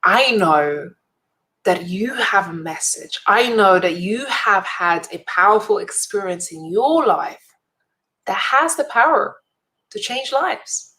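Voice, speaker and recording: A woman's voice: mid-range voice, animated, slow pace, bright, forceful tone, rough recording. A woman giving an earnest, inspirational talk straight to her viewers, a speaker and event host who leans on emphatic repetition. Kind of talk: motivational direct-to-camera talk.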